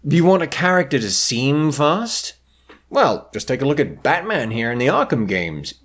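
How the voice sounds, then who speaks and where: A man's voice: mid-range voice, big pitch swings, normal speed, a bit bright. A man narrating a scripted video essay, with theatrical, sardonic emphasis.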